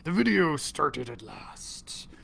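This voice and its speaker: A man's voice: a little high, very animated, takes it slowly, creaky, bright, forceful tone. A man reading a children's story aloud, playfully talking like a pirate. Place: library video recording.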